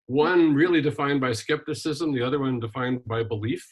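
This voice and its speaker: An older man from Wisconsin, a retired minister and journalist, telling his life story in a relaxed interview with frequent ums and restarts. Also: mid-range voice, normal speed, husky. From Wisconsin, USA. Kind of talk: casual conversational interview.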